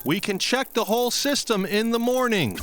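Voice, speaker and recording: A man's voice: extremely high pitch, animated, moderate pace, over loud music. A man playing a curt, complacent spaceship chief giving orders in a radio comedy. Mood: dismissive. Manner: commanding, curt. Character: testy, complacent male spaceship chief in command. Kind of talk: old-time radio parody acting.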